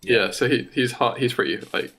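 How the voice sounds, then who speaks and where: A man with a British accent chatting casually and jokingly as a podcast co-host, with a halting, stumbling delivery. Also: mid-range voice, fairly bright tone, husky. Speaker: podcast co-host pitching a story.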